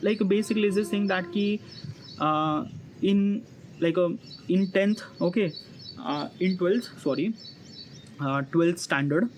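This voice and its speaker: A man in his early thirties with an Indian accent, giving an unscripted personal monologue to viewers in a halting, repetitive, filler-heavy way. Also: high-pitched. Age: early 30s. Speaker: vlogger. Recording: noisy ambience with birdsong. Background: chirping birds, ambient noise.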